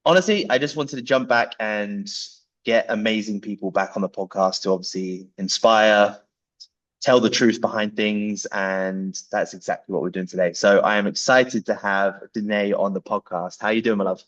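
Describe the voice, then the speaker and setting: Chatty low-voiced man. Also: moderate pace, a bit bright, muffled recording. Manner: loose and chatty, swears, stumbles over restarts. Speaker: podcast host. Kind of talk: casual interview.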